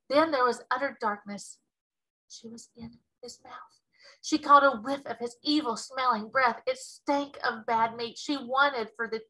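A woman in her thirties reading a children's story aloud with expressive narration, like a teacher. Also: a little high, rough recording.

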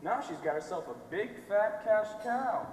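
A young man playing a brash, fast-talking reporter in an amateur stage play, with theatrical, slangy, emphatic delivery. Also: high-pitched, animated. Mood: slick, dramatic. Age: young adult. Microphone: distant microphone. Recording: echoey theatre hall from far off, noisy.

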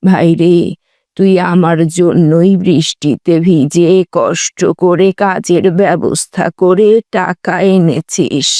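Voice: mid-range voice, slow pace, mellow, no echo, studio quality. A performer voicing a loving, pious elderly grandmother in a cartoon, affectionate and full of blessings. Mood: tender. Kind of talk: animated moral story dialogue.

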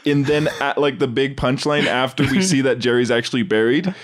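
A man's voice: mid-range voice, a little fast. A man joking and brainstorming casually as part of a comedy podcast.

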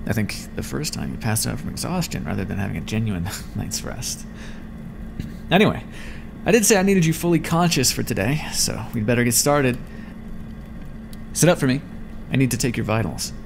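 Man acting a creaky-voiced, condescending vampire experimenter. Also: mid-range voice, very animated, moderate pace, background noise. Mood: clinical, musing. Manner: condescending, teasing, musing aloud. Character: clinical, smug male vampire experimenter toying with captive. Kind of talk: ASMR roleplay, intimate scripted monologue.

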